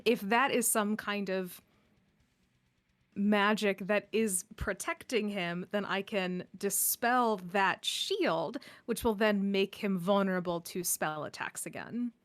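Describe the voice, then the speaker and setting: A woman's voice: medium pitch, slow. A woman talking casually as a player in a tabletop game. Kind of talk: casual game play talk.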